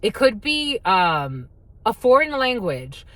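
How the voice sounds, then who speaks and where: A woman's voice: on the high side, sing-song, slow, a bit bright, no echo. A woman whose first language is Spanish gives a casual tarot reading. She talks bluntly, swears freely, and calls her viewers "my lovies". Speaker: tarot reader.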